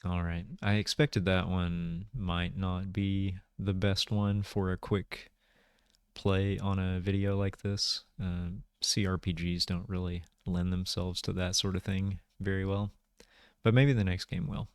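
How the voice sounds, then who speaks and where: A man's voice: low voice, level tone, unhurried, smooth, no echo, clean studio sound. A man giving casual gameplay commentary, thinking aloud in a hesitant, musing way. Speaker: video game player and commentator. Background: music.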